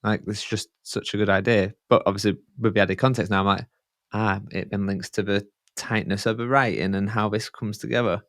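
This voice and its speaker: Male interview guest, fairly low voice, dry recording, eager and informal. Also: fairly even intonation, brisk, fairly bright tone, no echo. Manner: enthusiastic, loose, swears casually. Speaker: podcast guest. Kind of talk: casual interview chat.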